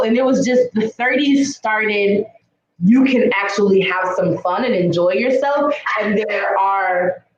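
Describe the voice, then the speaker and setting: An American woman in her thirties, a comedian casually telling personal stories in conversation. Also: medium pitch. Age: 30s. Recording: echoey room, dull lo-fi audio.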